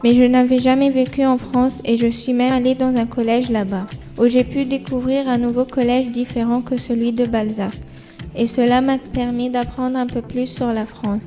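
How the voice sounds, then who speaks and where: A woman from Egypt, a student guest, reading a prepared personal account carefully and in a measured way. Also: a little high, little pitch movement, warm tone, soft background music.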